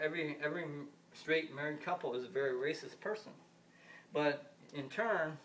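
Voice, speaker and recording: A man's voice: a little high, fairly bright tone. A man in his fifties from the United States, rambling through a solo monologue, often repeating himself and circling back to the same points. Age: 50s. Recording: distant mic with faint background noise.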